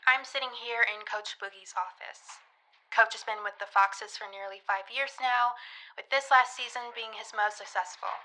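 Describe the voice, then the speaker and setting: A woman's voice: fairly high pitch, fairly even intonation, moderate pace, bright, forceful tone, a little hiss or hum, low-quality recording. A woman acting as a determined teenage girl, an aspiring private eye and school reporter, in a scripted audio drama. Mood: probing. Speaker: narrator and lead actor. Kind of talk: scripted audio drama, first-person narration and dialogue.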